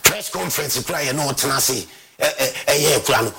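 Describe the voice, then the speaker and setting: A man's voice: medium pitch, fairly quick, gravelly, a crowd in the background. A Ghanaian man from Ghana delivering a heated, scornful rant as a football fan commentator, repeating himself often. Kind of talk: angry rant.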